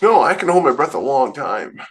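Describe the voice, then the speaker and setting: A man with a medium-pitched voice chats casually as a podcast co-host. His manner is wry and joking, built for easy banter. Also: big pitch swings, fairly quick.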